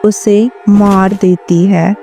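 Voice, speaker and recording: A woman reads a cartoon story aloud, setting down each phrase very slowly. Her tone is dark and mellow, with faint music behind her. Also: medium pitch, level tone, creaky. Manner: very slow, measured telling. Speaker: narrator. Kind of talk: storybook narration.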